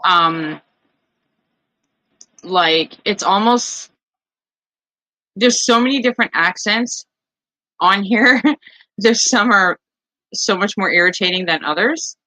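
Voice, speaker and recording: Chatty woman with a bright, fairly low voice, rambling and close-miked in a dry space. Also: takes it slowly, long pauses, dry recording, rough recording. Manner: chatty, rambling, heavy use of fillers. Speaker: voice chat participant. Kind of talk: casual chat.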